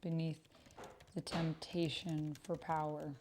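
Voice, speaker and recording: A woman's voice: low-pitched, flat delivery, slow, a little mellow, a little hiss or hum. A woman livestreaming a text roleplay game, chatting casually and thinking aloud as she reads and types. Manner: thinks aloud while reading and typing. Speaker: streamer playing a text-based roleplay game. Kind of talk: casual livestream chat while reading out typed roleplay. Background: computer keyboard typing.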